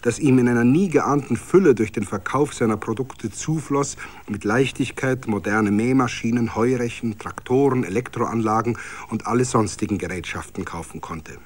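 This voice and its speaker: A man reading scripted literary narration for a radio play in a measured storytelling manner. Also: medium pitch. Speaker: narrator and reader of all parts.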